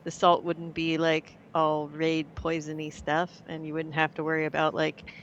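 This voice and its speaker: A woman's voice: low voice, monotone, moderate pace, muffled recording, slight background noise. A woman co-hosting a livestream, chatting casually in loose, hesitant phrasing peppered with "like". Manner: hesitant, filler-heavy phrasing with frequent "like". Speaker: livestream co-host. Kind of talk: casual chat.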